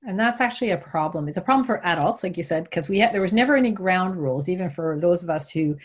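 Husky low-voiced woman expert guest. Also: expressive. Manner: measured and informative, frequent "um" fillers. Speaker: workplace mental health specialist, guest. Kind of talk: explanatory interview answers.

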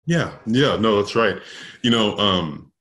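Low-voiced man answering in an interview. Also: lively intonation, takes it slowly, fairly bright tone. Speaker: interview guest, leadership expert.

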